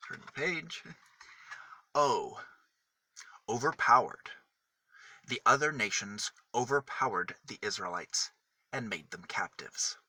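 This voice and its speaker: A man reading a picture book aloud for small children, with deliberate, emphatic phrasing that sets single words apart. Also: medium pitch, lively intonation, bright, forceful tone. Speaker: children's storybook reader.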